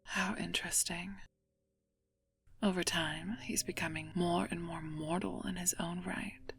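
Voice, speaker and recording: A woman acts out a regal goddess in an ASMR audio drama, playful yet wistful. Her voice sits low with a creaky edge, often sinking into a breathy whisper, and she muses in a slow, teasing way. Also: steady tone, a bit bright, heavily acted. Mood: intrigued, musing. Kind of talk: intimate ASMR roleplay acting.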